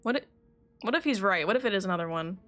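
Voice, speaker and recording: A woman gives casual livestream commentary on a game. Her voice sits at a medium pitch, animated and given to muttered reactions, with faint music behind her. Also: fast. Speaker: game streamer.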